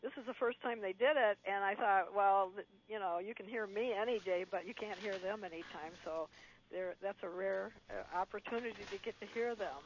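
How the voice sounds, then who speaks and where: A woman's voice: medium pitch, brisk. A woman in her seventies calling into a radio show, upbeat and talkative, telling anecdotes. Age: 70s. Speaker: radio caller. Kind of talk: casual phone-in chat. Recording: muffled phone line, dry.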